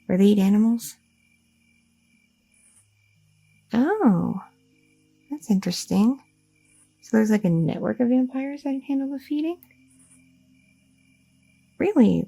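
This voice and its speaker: A woman's voice: mid-range voice, vocal fry, mellow, breathy edge, rough recording. A woman playing an anxious, devoted young goth girlfriend in an ASMR audio roleplay, hesitant and rambling, often repeating herself. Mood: curious, reassuring. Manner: hesitant, stammering, self-interrupting, repeating words.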